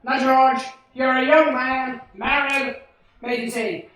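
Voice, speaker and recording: Radio drama villain: wily old male banker, played by a man, sharp, blustering and smug in tone, distant and very reverberant stage sound. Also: very high-pitched, level tone, slow pace, full character voice. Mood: smug, coaxing. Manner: condescending, wheedling, blustering. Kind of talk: 1940s radio play acting. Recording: distant, very echoey stage hall.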